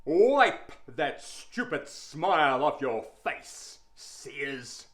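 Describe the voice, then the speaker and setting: A stern male primary school teacher, acted out by a man telling a story, commanding and contemptuous. The delivery is slow and deliberate, with wide swings of pitch that press home the bullying tone. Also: animated, a little hiss or hum. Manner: slow, commanding classroom instruction.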